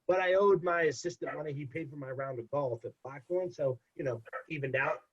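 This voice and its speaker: A young man with a husky, congested voice tells a rambling story, repeating phrases for emphasis. The recording is dull and lo-fi. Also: a little high, fairly quick. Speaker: podcast guest. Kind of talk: casual storytelling. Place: home. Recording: dull lo-fi podcast audio.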